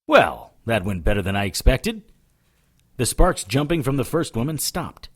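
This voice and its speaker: A man's voice: medium pitch, very animated, normal speed, slight background noise, lightly acted. A man playing a determined, hard-boiled witch hunter, narrating an audio drama in the first person. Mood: tense, narrating. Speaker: lead character and narrator.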